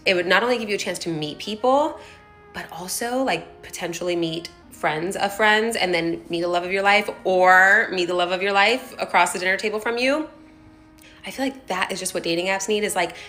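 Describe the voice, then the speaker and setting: A woman's voice: on the low side, fairly bright tone. A woman talking casually and enthusiastically to camera, full of "like" fillers. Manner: chatty, enthusiastic, many "like" fillers. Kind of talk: casual vlog monologue.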